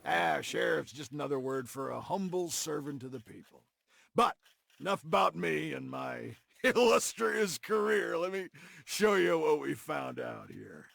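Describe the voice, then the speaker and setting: An actor playing a sly, boastful old western sheriff in a video game, gravelly, folksy and mocking. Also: male voice, fairly high pitch, sing-song, unhurried, a bit bright, no room sound, heavily acted. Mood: jovial, boastful. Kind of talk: scripted game cutscene acting.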